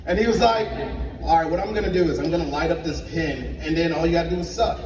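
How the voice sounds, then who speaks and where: A young man from Liberia performing a stand-up comedy set in a club, telling animated stories and acting out both sides of the dialogue. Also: a little high, quick pace, a crowd in the background. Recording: echoey club sound from a distance. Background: audience laughter.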